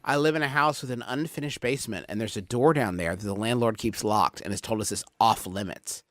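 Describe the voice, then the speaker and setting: A man's voice: fairly low pitch, fairly quick, a bit bright, no room sound. A man hosts a comedy podcast in a casual, joking style. He moves between reading aloud and riffing. The sound is dry, with no room around him. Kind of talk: casual comic banter and reading out listener questions.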